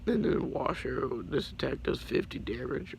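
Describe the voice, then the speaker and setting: A teenage boy with a raspy, deeply gravelly voice, worn down by a few days of being sick. He rambles casually and apologetically through his card collection, tripping over names as he goes. The home recording is low quality, with a faint hiss underneath. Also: expressive, moderate pace.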